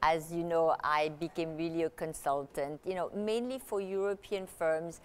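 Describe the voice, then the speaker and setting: A woman's voice: low-pitched, normal speed, smooth. A woman who grew up in Italy, a guest in an interview, talking through her career in a chatty way with many fillers and restarts. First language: Italian. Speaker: guest, luxury consultant and author. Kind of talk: conversational interview.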